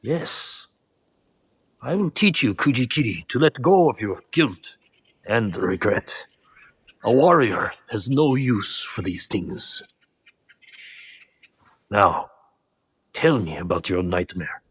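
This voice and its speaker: An actor playing a grave, gentle middle-aged father and martial mentor in a video game, measured and instructive. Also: on the low side, sing-song, slightly rough, exaggerated voice. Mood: solemn, resolute. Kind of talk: dramatic video game cutscene acting.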